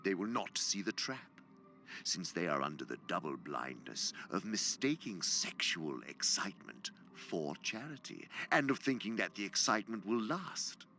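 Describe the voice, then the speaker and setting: A man's voice: deep voice, sing-song, normal speed, sharp tone, slight background noise, heavily acted. A man playing a sly, gloating senior demon who lectures pompously for a radio drama, savoring his words and sometimes dropping to a whisper. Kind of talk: theatrical radio drama monologue.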